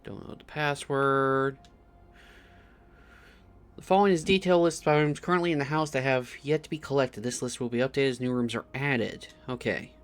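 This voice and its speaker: A man's voice: medium pitch, fairly even intonation, normal speed. A man giving casual playthrough commentary as a gaming streamer, sometimes reading on-screen text aloud. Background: game music.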